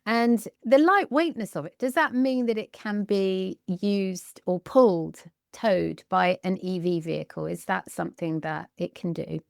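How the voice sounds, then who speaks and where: A woman's voice: mid-range voice, unhurried, vocal fry, hardly any pauses, no room sound, studio quality. A woman hosting an interview, asking questions with enthusiasm. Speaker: podcast host.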